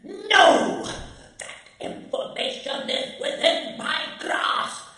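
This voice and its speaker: A man's voice: extremely high pitch, expressive, slow pace, sharp tone, exaggerated voice. A man playing a cruel, gloating megalomaniac cyborg in a radio drama, with a harsh, electronically modulated voice and pompous, menacing threats. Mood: furious. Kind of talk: radio drama villain acting. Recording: echoey fan-made audio drama.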